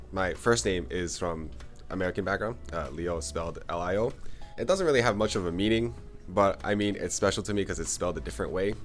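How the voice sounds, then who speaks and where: Deep-voiced hesitant young man. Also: a bit bright. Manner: hesitant, many fillers. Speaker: student interviewee. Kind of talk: casual interview answers.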